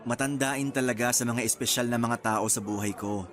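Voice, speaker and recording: An actor plays a smitten man in his thirties who flirts and teases in a radio drama. His Filipino-accented voice is mid-pitched. Music plays beneath it. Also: fairly even intonation, moderate pace, a bit bright, natural voice. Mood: teasing, tender. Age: 30s. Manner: teasing, sweet-talking. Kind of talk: conversational radio drama acting. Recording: music bed under the voice.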